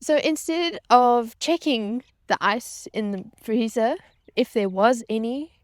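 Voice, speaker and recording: A woman's voice: on the high side, slow pace, no echo. Dramatic woman telling a casual personal story. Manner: dramatic, self-mocking, uses repetition for emphasis. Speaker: storytelling vlogger and former yacht crew member.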